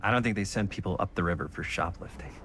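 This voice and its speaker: A man's voice: deep voice, quick pace, bright, forceful tone, slight background noise. A man playing a cautious teenage boy in a video game, joking in an anxious, hesitant way. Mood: uneasy. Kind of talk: naturalistic video game acting.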